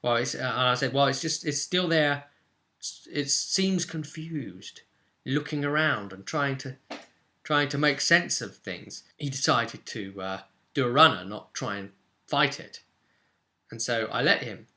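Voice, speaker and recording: A British man casually recounting a tabletop roleplaying session as game master, in an animated, informal way. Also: medium pitch, unhurried.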